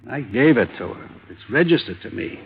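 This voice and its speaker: An actor playing a wealthy, pushy murder suspect who keeps offering money, in 1950s radio drama style. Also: male voice, very animated, brisk, a little hiss or hum, natural voice. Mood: pleading. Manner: low, bargaining, offers money. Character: rich, pushy murder suspect, middle-aged man. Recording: old lo-fi broadcast, phone-like.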